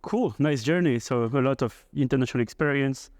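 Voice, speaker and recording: A man's voice: mid-range voice, a little fast, a little mellow, no echo. Man hosting a podcast interview. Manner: frequent "uh" and "you know" fillers. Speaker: podcast host.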